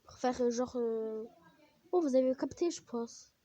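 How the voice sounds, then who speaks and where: A woman's voice: on the high side, unhurried, mellow, no echo, muffled recording. A young woman with a cold chats casually and rambles with many fillers while she plays a game. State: has a cold, coughing. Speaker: gaming vlogger.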